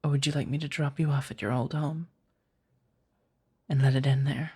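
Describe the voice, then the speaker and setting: A woman playing a remorseful, tender vampire in an ASMR roleplay, speaking softly and haltingly, often slipping into a whisper. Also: very deep voice, steady tone, slightly rough. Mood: hesitant, apologetic. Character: remorseful, tender female vampire rescuing her beloved.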